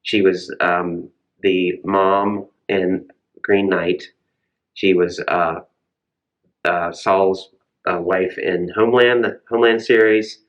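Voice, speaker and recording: A man's voice: sits low, fairly even intonation, slow pace. A man co-hosting a casual chat show, giving conversational film recommendations, on a slightly muffled recording. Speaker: co-host.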